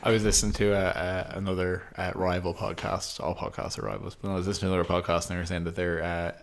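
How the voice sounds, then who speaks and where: Deep-voiced man, dry and teasing. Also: level tone. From Ireland. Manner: dry, teasing, drawn-out fillers. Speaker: podcast co-host. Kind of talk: casual chat.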